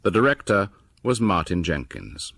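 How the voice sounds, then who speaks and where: A man with a very low voice narrates as host of a horror anthology. His delivery is theatrical and ominous, with animated swoops in pitch and a close, dry sound. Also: normal speed, gravelly, slightly rough, no room sound. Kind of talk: scripted storytelling narration.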